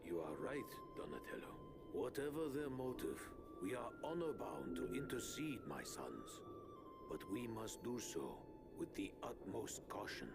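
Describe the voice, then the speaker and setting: An actor voicing a calm, formal old male rat sensei who speaks with measured gravity. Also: mid-range voice. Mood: grave. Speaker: martial arts master and father. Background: game music.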